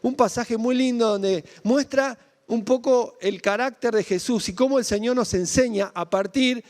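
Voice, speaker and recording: A man's voice: extremely high pitch, normal speed, a little mellow, in a large hall. A man from Argentina with a Rioplatense accent, preaching a sermon in a conversational way, full of rhetorical questions and tag questions. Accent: Argentine Spanish (Rioplatense). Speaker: pastor.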